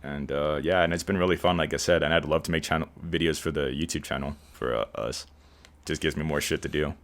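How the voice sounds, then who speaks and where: Low-voiced man in rambling podcast chat. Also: fast. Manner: relaxed, rambling, says he has a monotone voice. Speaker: podcast host and editor. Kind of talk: casual chat.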